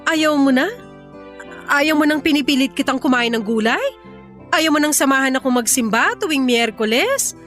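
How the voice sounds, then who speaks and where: A woman's voice: sits high, expressive, normal speed, fairly bright tone, faint music behind. A woman acting in a radio drama as a hurt young mother, pleading emotionally and reproachfully with her partner. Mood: anxious pleading.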